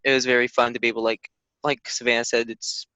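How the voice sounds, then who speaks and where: A man's voice: medium pitch, little pitch movement, quick pace, bright, forceful tone, clear, resonant tone, no room sound. A man student sharing his thoughts in class, hesitant and repeating himself. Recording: muffled lo-fi dry audio.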